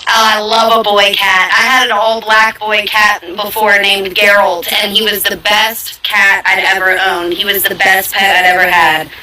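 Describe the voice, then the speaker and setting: A woman's voice: mid-range voice, flat delivery, moderate pace, hardly any pauses, sharp tone. Chatty woman, echoey and distant. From Virginia, United States. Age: 20s. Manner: chatty and playful, teasing. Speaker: conversation partner. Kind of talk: casual chat. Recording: echoey, distant, busy background. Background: dog barking.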